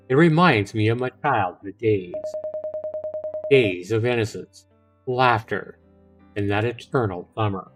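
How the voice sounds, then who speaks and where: A middle-aged man hosts a game livestream, reading story text aloud at a slow, unhurried pace. He stumbles and rereads now and then and drops in casual asides to his viewers. Faint music plays behind his medium-pitched voice. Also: poor audio. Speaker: livestream host.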